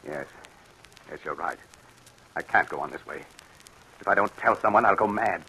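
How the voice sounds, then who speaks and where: An actor playing a high-strung, impulsive young fiancé with an intense, theatrical delivery, in 1940s radio drama style. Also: male voice, expressive, moderate pace, bright, forceful tone, slight background noise, rough recording. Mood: fearful, irritable.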